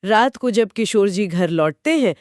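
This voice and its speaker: A woman's voice: mid-range voice, lively intonation, a little slow, darkish tone, no room sound, studio quality. A woman co-host retelling a short story as scripted narration within a two-person discussion.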